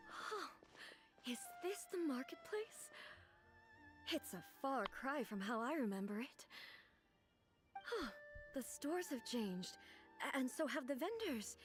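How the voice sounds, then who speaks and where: A woman voicing a calm, aloof, proud female deity in a video game, speaking in a measured, formal manner. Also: high-pitched, faint music behind, heavily acted. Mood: composed, curious.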